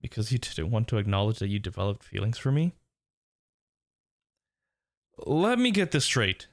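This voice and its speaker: A man's voice: on the low side, big pitch swings, fairly quick, no room sound, clean studio sound. A man playing a smitten, teasing workplace rival turned lover in an intimate romantic audio roleplay, murmuring tenderly and breathily. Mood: earnest, incredulous.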